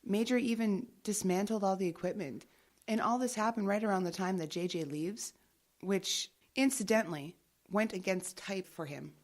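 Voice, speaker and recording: An actress playing a guarded, businesslike investigator in an audio drama, matter-of-fact and evasive. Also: female voice, mid-range voice, moderate pace, darkish tone, close to an everyday voice. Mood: measured, explanatory. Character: guarded, businesslike female investigator working undercover.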